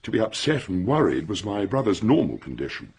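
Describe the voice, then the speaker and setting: A blunt, well-bred English gentleman in a radio mystery. His voice is low and very husky, swinging widely in pitch as he speaks in a clipped, forthright way. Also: very animated, fairly quick, exaggerated voice. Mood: grave, forthright. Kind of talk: radio drama acting.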